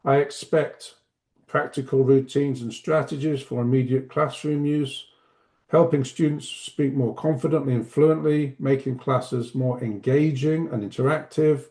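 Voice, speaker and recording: Male teacher trainer, 50s, slight cough, chatty and self-deprecating, mellow tone. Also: medium pitch, fairly even intonation, measured pace. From Manchester, north of England. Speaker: teacher trainer and webinar presenter. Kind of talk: online webinar presentation. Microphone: video call.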